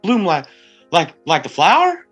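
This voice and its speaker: A woman's voice: lowish voice, wildly swooping pitch, measured pace, fairly bright tone, slightly rough, soft background music, full character voice. Woman playing a tipsy, stammering small-town mayor. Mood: flustered, welcoming. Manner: stammering, rambling, folksy. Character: friendly, tipsy forty-year-old small-town mayor, a man. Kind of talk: screenplay table read.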